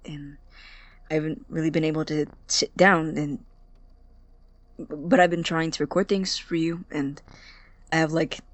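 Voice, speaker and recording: An awkward, guarded young male college student played by a woman in a naturalistic audio drama. The voice is low and very dry, close and without room sound. The delivery is hesitant and apologetic. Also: little pitch movement, measured pace, long pauses, dry recording. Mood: nervous, apologetic. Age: young adult.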